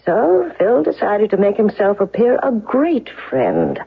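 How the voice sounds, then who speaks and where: A woman's voice: mid-range voice, wildly swooping pitch, measured pace, gravelly, husky, exaggerated voice. A woman playing a commanding, relentless defense lawyer in a 1970s radio courtroom drama, speaking in an emphatic, accusatory way. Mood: accusing. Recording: dull, lo-fi old radio recording.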